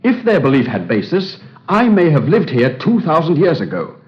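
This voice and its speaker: Casting: haunted, earnest English scholar, formal and agonized, widely swinging pitch, dull vintage radio sound. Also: male voice, huge pitch swings, moderate pace. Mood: pleading, fervent. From England. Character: troubled, earnest English Egyptologist haunted by the past. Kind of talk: old-time radio drama acting. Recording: dull, band-limited old radio recording.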